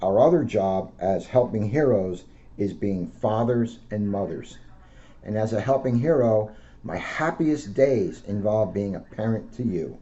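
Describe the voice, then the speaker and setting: A man's voice: fairly low pitch, expressive, unhurried, mellow. A middle-aged American man, a school police officer, reading aloud and talking informally to schoolchildren. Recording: dull lo-fi audio with faint noise.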